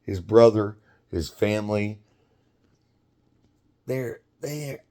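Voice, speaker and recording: A man in his fifties tells a story in an unhurried, very deep voice. He rambles and stops to restart sentences, and laughter keeps slipping into his talk. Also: dark tone. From United States. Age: 50s. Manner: rambling, halting, quick to laugh. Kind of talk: casual storytelling.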